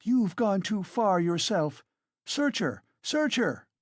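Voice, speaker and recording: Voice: medium pitch, sing-song, measured pace, dry recording, studio quality, voice pushed up. A reader voicing a frantic, defiant widow who shrieks and accuses in hysterical fury, as an audiobook character.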